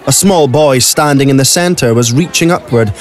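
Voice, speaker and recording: A man's voice: mid-range voice, normal speed, some chatter behind. A man narrating a scripted audio drama as a storyteller. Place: recording studio. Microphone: close studio microphone.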